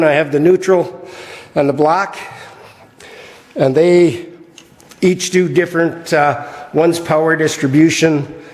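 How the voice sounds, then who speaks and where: Slightly hoarse guy rambling with lots of uhs, kinda high voice, long echo in a big garage. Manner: relaxed, rambling, many "uh" fillers. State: slightly hoarse, clearing his throat. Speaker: garage builder showing his project. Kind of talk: casual workshop vlog. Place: large shop garage, indoors.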